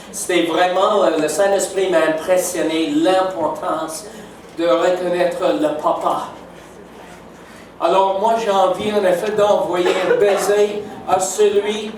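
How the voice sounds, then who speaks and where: A man's voice: high voice, a little hiss or hum. Older English Canadian man preaching in French. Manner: warm, emphatic, keeps asking "n'est-ce pas?". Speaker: guest preacher. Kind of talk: sermon. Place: church sanctuary. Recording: echoey church hall from far off.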